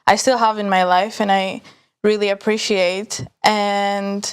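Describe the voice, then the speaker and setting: Soft-spoken young woman with a clear voice. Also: mid-range voice, little pitch movement, measured pace. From Greece. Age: young adult. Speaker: guest student. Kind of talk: casual chat.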